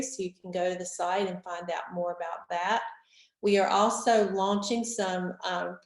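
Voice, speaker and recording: Stuffy-nosed woman leading a teacher webinar. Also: medium pitch. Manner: organized, instructive, addresses teachers directly. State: stuffy from a cold. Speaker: instructional technology facilitator. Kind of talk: online training webinar. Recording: roomy echo.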